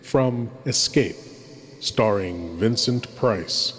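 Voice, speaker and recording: Man playing a slow, echoing, sinister horror host. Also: fairly low pitch, a bit bright, a little hiss or hum. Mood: ominous. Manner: slow, dramatic, ornate, with long pauses. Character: theatrical male horror host, sinister and grandly courteous. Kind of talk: theatrical scripted horror introduction. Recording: long cavernous reverb.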